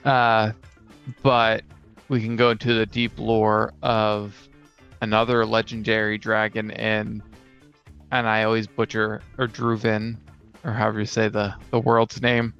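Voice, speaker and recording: Gravelly but clear-voiced man, casual co-host. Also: fairly low pitch, monotone, unhurried, a bit bright, dull audio, soft background music. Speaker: podcast co-host. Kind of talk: casual chat about tabletop gaming.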